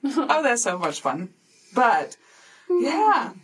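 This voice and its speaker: A woman's voice: fairly high pitch, sing-song, extremely slow, breathy edge. A woman of thirty-five from Switzerland, co-hosting a podcast and chatting casually and informally about her life, often breaking into giggles. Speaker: podcast host. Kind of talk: casual chat, sharing personal stories.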